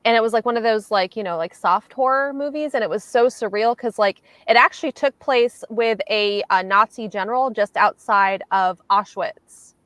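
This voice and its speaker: A woman chatting casually on a livestream, telling things in a halting, reflective way. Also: fairly high pitch, a bit bright, no echo. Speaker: host of reading livestream. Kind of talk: casual chat.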